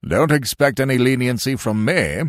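Man playing a husky, stern old British colonel. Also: sits low, big pitch swings, moderate pace, no room sound, studio quality, exaggerated voice. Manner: brusque, clipped military authority.

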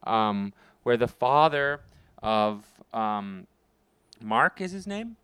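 A man co-hosting a podcast in casual chat, earnest and halting, often restarting his sentences. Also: on the low side, big pitch swings, a bit bright, smooth, no room sound. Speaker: podcast co-host.

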